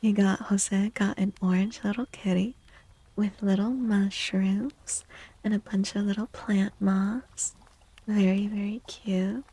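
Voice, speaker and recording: A soft-spoken woman chatting in a gentle, playful ASMR style as a streamer, often dropping to a whisper. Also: mid-range voice, steady tone, measured pace, husky, no echo, poor audio. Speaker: live streamer reading tarot cards for chat. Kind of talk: soft-spoken ASMR chat, greeting viewers.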